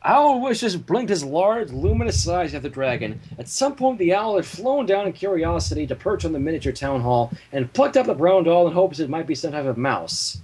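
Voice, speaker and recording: A man reading fan fiction aloud as an animated story narrator, with casual asides. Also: a little high, moderate pace, hardly any pauses, slight background noise, poor audio.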